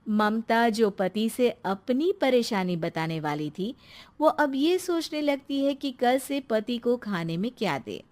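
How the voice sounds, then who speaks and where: A woman's voice: fairly high pitch, expressive, a little slow, darkish tone. A woman narrating a scripted family moral story as its storyteller.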